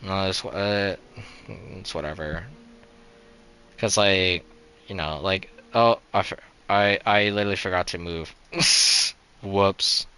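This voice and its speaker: A man's voice: low-pitched, fairly even intonation, slow, pushed and bright, clear, resonant tone. A young man streaming a game live, chatting casually in a rambling, resigned way, full of "like" and "you know" and restarted sentences.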